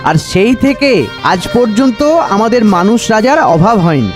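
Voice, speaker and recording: A ghostly cartoon courtier, played by a man, speaks in a very high voice over faint music. The spirit is deferential but matter-of-fact, laying things out in a courtly way. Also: lively intonation, moderate pace. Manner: explanatory, courtly. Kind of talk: cartoon voice acting.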